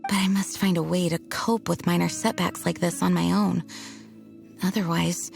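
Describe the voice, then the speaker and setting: A dutiful knight commander. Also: female voice, on the low side, fairly even intonation, moderate pace, creaky, darkish tone. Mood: earnest. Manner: formal, composed, dutiful. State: ill, coughing. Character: earnest dutiful female knight commander, young adult. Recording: game audio with faint music.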